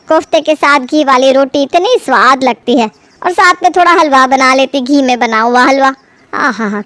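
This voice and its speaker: A woman voicing a nagging older mother-in-law in a cartoon family story, with whining, drawn-out complaints. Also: sits high, a bit bright, no echo. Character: older mother-in-law, nagging, fussy lover of rich ghee food.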